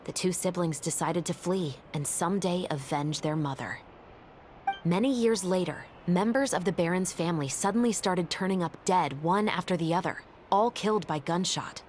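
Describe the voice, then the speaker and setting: A woman voicing a calm, composed female officer in a video game, speaking in a steady, matter-of-fact way. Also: on the low side, normal speed, slight background noise, lightly acted. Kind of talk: game voice acting.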